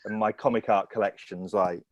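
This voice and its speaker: A man's voice: lowish voice, fairly even intonation, brisk, no echo, dull audio. A man chiming in with dry jokes on a casual panel chat. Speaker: panel guest.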